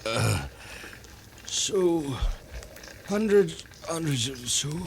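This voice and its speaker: An actor playing a badly wounded, dazed wagon-train survivor in a 1950s western radio drama, gasping out broken phrases. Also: male voice, fairly high pitch, very animated, very slow, creaky, exaggerated voice. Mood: dazed anguish. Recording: noisy 1950s radio recording.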